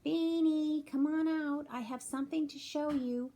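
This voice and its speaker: A woman's voice: high-pitched, steady tone, measured pace, warm tone, a little hiss or hum, pitched above the performer's own voice. A woman voicing a bossy, condescending young girl who thinks she knows everything, in a children's storybook. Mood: excited. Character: bossy, know-it-all young girl, the older friend.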